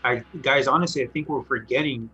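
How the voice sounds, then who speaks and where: A man's voice: medium pitch, a little fast, sharp tone, husky, highs cut off. A man talking casually, in a slangy and emphatic way. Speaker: podcast co-host. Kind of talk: casual chat. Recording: music under the voice.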